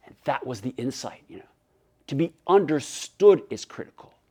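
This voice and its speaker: Animated French-native man giving a keynote. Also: mid-range voice, normal speed. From Canada. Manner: animated, many restarts, acts out dialogue. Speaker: keynote speaker. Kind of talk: webinar presentation.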